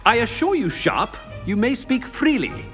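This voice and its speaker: An actor playing a pompous, impatient headmaster with halting, haughty bluster, in a video game cutscene. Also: male voice, very high-pitched, big pitch swings, fairly bright tone, faint music behind, exaggerated voice. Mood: flustered, imperious. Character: pompous, brusque male headmaster, played as a flustered student in disguise.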